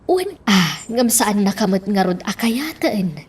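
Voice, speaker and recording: Naayat a nana, nabannayat. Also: female voice, medium pitch, expressive, unhurried, slightly rough. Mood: advising. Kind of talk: Ilocano radio drama acting.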